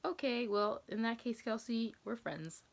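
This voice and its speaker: A woman's voice: on the high side, unhurried, vocal fry. A woman in her twenties with an American accent, chatting casually as she answers viewer questions, rambling and hesitant as she thinks aloud. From New England, USA. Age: 20s.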